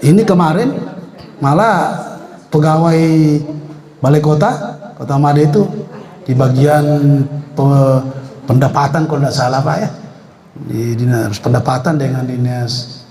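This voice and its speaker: A man's voice: mid-range voice, a little slow, pauses often, darkish tone. A man in his sixties, a retired health official, gives an informal, joking speech at a meeting, with frequent hesitations. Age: 60s. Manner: chatty and joking, with many hesitations. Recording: echoey room.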